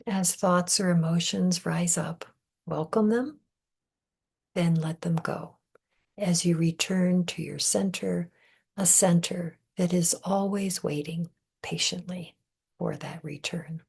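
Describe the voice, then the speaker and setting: A woman leading a guided meditation in a gentle, measured way, breathy and husky, often trailing off into a whisper with deliberate pauses. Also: fairly low pitch, fairly even intonation. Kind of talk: guided meditation, soothing scripted narration.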